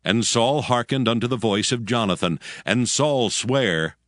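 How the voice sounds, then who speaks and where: A man's voice: medium pitch, expressive, a little slow, a bit bright, no echo. A man narrating scripture as a solemn storyteller, reading from a script. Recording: studio narration over music bed. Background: background music.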